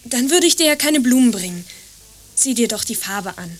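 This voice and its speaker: Reticent, high-voiced young lodger. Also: female voice, animated, moderate pace. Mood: guarded, soft. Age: young adult. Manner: reserved, short evasive answers. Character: reticent young female lodger, quietly evasive. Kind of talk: understated radio drama dialogue.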